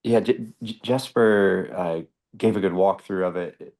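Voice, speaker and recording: A man's voice: low-pitched, measured pace, darkish tone. A man asking questions during a video workshop, hesitant and stammering over his words. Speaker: workshop participant.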